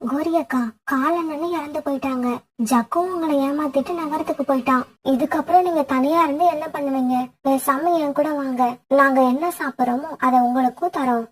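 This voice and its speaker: A woman voicing a kindly, sympathetic female sparrow, earnest and husky, in an animated bird fable. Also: high-pitched, a little fast, close to an everyday voice.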